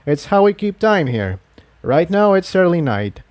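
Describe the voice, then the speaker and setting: A man's voice: high voice, sing-song, measured pace, a little mellow, voice pushed up. A man voicing a devout, courteous pegasus townsman who explains things earnestly and formally in a fantasy audiobook. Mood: informative. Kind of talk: acted dialogue in fan fiction audiobook.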